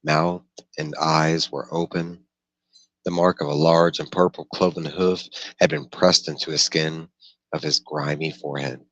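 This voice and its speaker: Very deep-voiced man reading a novel aloud. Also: fairly even intonation, a little slow, fairly bright tone, no echo, muffled recording. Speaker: audiobook reader.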